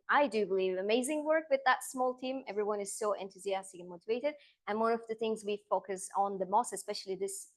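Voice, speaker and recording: A woman from Turkey with a Turkish accent, a seabird conservation researcher giving a public lecture, earnest and explanatory, pausing on "um" and slowing down to stress key points. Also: mid-range voice.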